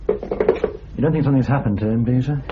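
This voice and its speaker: An actor playing a polite, brisk junior policeman in a radio drama. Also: medium pitch, faint music behind, lightly acted. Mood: dutiful. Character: deferential junior British policeman, man. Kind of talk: British radio drama acting. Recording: muffled radio drama, faint noise.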